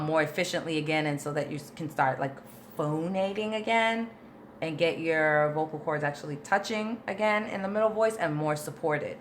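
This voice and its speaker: A woman vocal coach with a notably low speaking voice, chatting through a live Q&A and answering viewers' questions informally. She breaks her explanations down patiently, step by step, with plenty of fillers and asides, and a faint hiss sits under her voice. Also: fairly bright tone. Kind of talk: casual livestream Q&A, reading and answering viewer questions.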